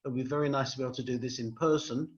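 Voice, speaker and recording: A man's voice: medium pitch, steady tone, rapid-fire. A man hosting an online event, giving polite, hesitant closing thanks with many ums. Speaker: host, rabbi. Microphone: video call. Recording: dull lo-fi video call audio.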